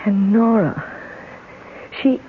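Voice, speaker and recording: An actress playing a distraught, betrayed young wife in an old-time radio drama. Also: female voice, medium pitch, slow, natural voice. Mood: shaken. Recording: dull, noisy vintage radio recording.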